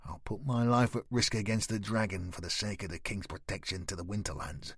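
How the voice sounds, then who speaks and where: A man voicing a hardened male warrior who speaks quietly and ironically, with a hard edge. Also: on the low side, unhurried, no echo, clean studio sound. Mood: wry. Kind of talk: audiobook character voice.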